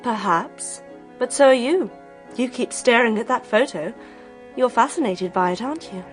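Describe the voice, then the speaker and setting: An anxious young British woman acted by an actress in a radio mystery. Her voice is high, polite and guarded, with faint music playing underneath. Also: normal speed, lightly acted. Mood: pensive, curious. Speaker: actress in a radio detective play. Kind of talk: British radio drama acting, dialogue.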